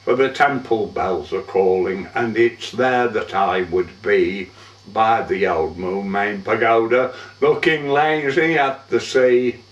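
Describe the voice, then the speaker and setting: A man giving a measured, theatrical recitation of a poem as a monologue, drawing out the ends of lines. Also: on the low side. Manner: measured, theatrical, drawn-out line endings. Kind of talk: dramatic poetry recitation, monologue. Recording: dull, echoey room, far from mic.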